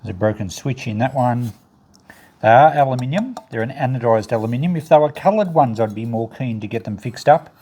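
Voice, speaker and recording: A man's voice: medium pitch, fairly quick, dark tone. A man hosting a casual unboxing video, thinking aloud in a matter-of-fact way as he sorts through items. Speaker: video host, e-waste recycler and reseller. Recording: noticeable background noise with clicks.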